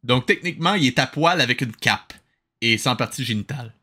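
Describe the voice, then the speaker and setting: Lively, sarcastic man with bright voice. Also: mid-range voice, fairly quick. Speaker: host of a comedic cartoon review show. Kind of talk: casual, sarcastic commentary.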